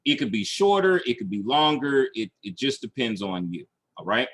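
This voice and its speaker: A man's voice: medium pitch, sing-song, moderate pace, a bit bright, husky. A man teaching online marketing in a screen-share tutorial, talking through each step aloud and checking in as he goes. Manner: instructional, checking in with "okay" and "all right".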